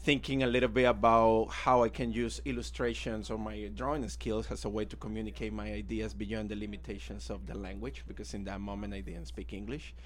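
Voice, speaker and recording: A man's voice: on the low side, flat delivery, a little fast, hardly any pauses. A man in his thirties with a Venezuelan accent, a native Spanish speaker, casually telling his own story as an interview guest. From Caracas, Venezuela. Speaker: interview guest, illustrator and art teacher.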